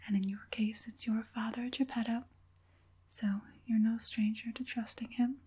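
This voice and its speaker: A woman playing an evil witch puppet master in an ASMR roleplay, whispering softly in a slow, soothing and quietly persuasive way. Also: fairly high pitch, steady tone. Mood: soft persuasion. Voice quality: breathy whisper.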